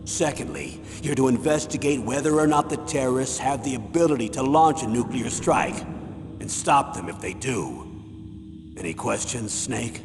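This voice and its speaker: A man playing a stern older military commander in a video game, giving a measured, grave and authoritative briefing. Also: mid-range voice, expressive, lightly acted. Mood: grave, instructing. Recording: long reverb, clear background noise.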